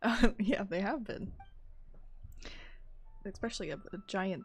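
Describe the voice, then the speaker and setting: A woman streamer with a medium-pitched voice, casually talking and reading through a game. She is playful and chatty, thinking aloud, with soft game music in the background. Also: a little slow. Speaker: live streamer. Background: faint game music.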